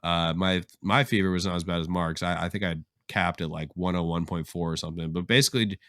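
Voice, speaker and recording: A man's voice: sits low, steady tone, fast, fairly bright tone, no room sound, studio quality. A young man co-hosting a podcast, telling stories in a loose, slangy, profane way while getting over covid. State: recovering from covid. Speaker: podcast co-host. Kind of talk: casual podcast chat.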